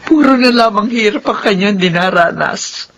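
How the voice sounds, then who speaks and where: A woman's voice: medium pitch, moderate pace, lightly acted. A woman playing a worried, pious, tender elderly grandmother in a radio drama, plaintive and consoling. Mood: sorrowful. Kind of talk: melodramatic radio drama acting.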